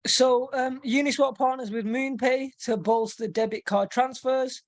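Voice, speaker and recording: A woman hosting a casual webinar, reading through a quick news roundup and often repeating words while she thinks. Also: high-pitched, fairly even intonation, no echo. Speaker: host. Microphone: video call mic.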